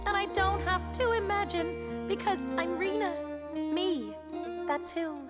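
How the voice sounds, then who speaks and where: Female children's singer, storytelling song, very high voice, unhurried phrasing, full music backing. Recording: loud music under the voice.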